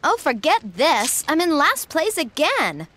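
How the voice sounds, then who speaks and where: Sassy blunt young adventuress, highish voice, swooping intonation, video game dub. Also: female voice, sing-song, unhurried, pushed and bright, heavily acted. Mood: irritated. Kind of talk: anime-style video game dub acting. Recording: clear watery noise behind voice.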